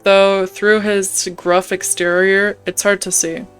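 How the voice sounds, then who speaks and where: A woman's voice: medium pitch, flat delivery, slow pace, a bit bright. A young woman hosting a gaming video, reading a visual novel's narration aloud and adding casual commentary. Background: faint music.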